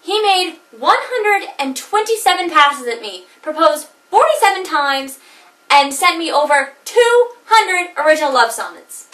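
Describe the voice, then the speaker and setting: A woman's voice: very high voice, sing-song, a little slow, a bit bright, echoey room, distant mic, heavily acted. A woman performing a comedic monologue as a jilted wife, wry and theatrical, caught between laughing and crying. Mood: wistful.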